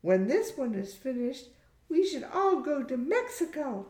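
A woman voicing a young adult daughter, bright and testing, in an audiobook story. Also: high voice, very animated, unhurried, voice pushed up. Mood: bright, insistent. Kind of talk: audiobook character voice. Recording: roomy echo.

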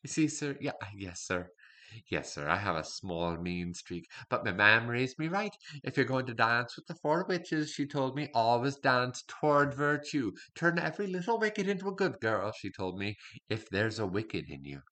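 A cunning servant who now runs the king's spies, played by a man, he rambles in a put-on folksy accent and keeps calling his listener sir. His voice is bright and tense, pitched low in his range. He sounds confiding and quietly sly. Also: very animated, moderate pace. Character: sly male servant turned royal spymaster. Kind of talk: storytelling monologue.

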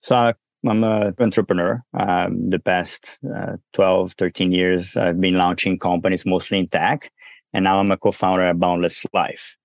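Brazilian guy with a bit of french in his accent, really low voice, dry close sound, lots of ums. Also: normal speed, gravelly, no echo. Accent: Brazilian with some French. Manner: relaxed, many fillers and restarts. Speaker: guest entrepreneur. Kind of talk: casual interview conversation.

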